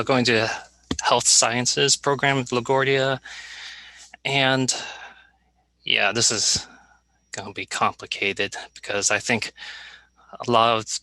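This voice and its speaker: A young man, a college student, giving a halting, hesitant presentation with many pauses over a video call. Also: medium pitch, sharp tone, no room sound, rough recording.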